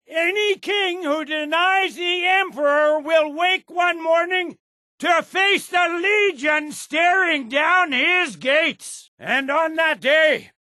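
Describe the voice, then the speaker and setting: Imperial general, middle-aged: proud, impatient, forceful and authoritative, dry close sound, for a fantasy game. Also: male voice, very high voice, no room sound, exaggerated voice. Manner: commanding, clipped military authority, deliberate emphatic delivery. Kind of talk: scripted video game voice acting.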